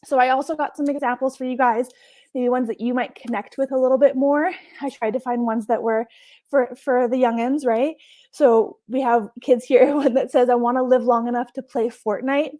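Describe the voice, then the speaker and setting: A young woman from Hawaii talks through a casual online workshop as a marine scientist. She is chatty and enthusiastic, and her fairly high voice sounds close and dry, with no room around it. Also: steady tone, moderate pace, dark tone, no room sound. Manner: chatty, enthusiastic, laughs often.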